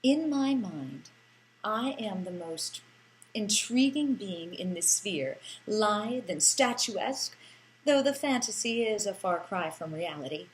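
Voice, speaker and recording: A woman's voice: medium pitch, expressive, slow, rough recording. A woman narrating a first-person story like an audiobook, with a theatrical, lilting delivery and lingering phrases.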